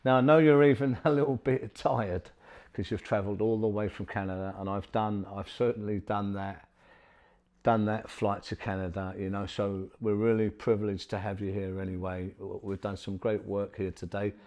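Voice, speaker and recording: A man's voice: lowish voice, little pitch movement, normal speed, darkish tone. Effusive rambling male podcast host. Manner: effusive, rambling, many fillers. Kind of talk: interview. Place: studio.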